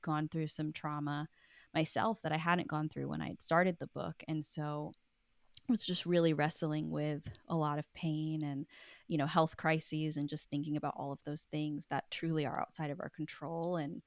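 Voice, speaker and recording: A woman with a low-pitched voice speaks in a casual, reflective way. She comes across as an earnest interview guest with informal phrasing. The sound is dry, with very little room to it. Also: level tone, normal speed, no echo. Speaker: guest, writer and author.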